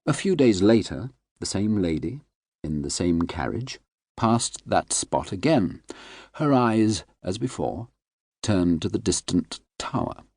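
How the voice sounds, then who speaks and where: A man reading literary fiction as an audiobook narrator, in a measured, precise manner. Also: sits low, sing-song, darkish tone.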